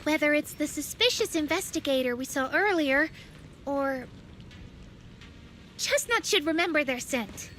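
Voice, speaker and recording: Anime game girl adventurer, youthful, extremely high and swooping, some background noise. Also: expressive, a little slow, long pauses, a bit bright. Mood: purposeful. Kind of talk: video game voice acting.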